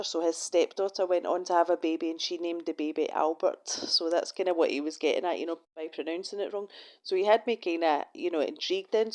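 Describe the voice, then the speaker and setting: A Scottish woman, middle-aged, talks with a slightly low voice in a casual monologue. She swears freely and drops wry asides. Her sentences often stall and restart as she goes. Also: darkish tone. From Scotland. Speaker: vlogger. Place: her room in a shared house.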